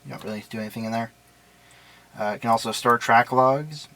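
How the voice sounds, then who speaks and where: A man talks through a product demonstration in an ordinary mid-pitched voice. His delivery is casual and unscripted, explaining each step plainly and matter-of-factly. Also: a little fast, sharp tone.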